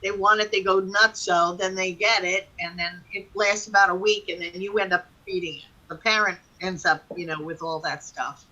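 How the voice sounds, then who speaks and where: Bright-voiced woman telling casual stories. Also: fairly low pitch, steady tone, moderate pace, hardly any pauses, poor audio. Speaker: talk show participant. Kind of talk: casual personal storytelling.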